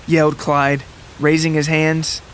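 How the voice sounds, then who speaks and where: A man reading a scripted literary story as a dramatic narrator, with deliberate, descriptive phrasing. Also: a little high, completely flat delivery, fairly bright tone, slight background noise. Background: music and rain sounds.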